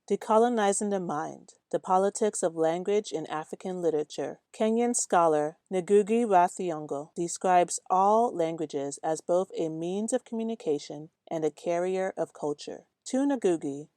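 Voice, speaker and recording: Low-voiced woman host. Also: mellow, no echo, studio quality. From Nigeria. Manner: measured, academic. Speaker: podcast host, researcher. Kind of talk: interviewing, then scripted narration.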